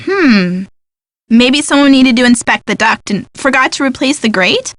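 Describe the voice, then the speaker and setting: Wry young woman, sceptical, sarcastic, practical, slightly high pitch, game dialogue, dry recording. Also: expressive, moderate pace, dry recording. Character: sardonic, practical young tomboyish woman, sleuth's sceptical friend. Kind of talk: scripted video game dialogue.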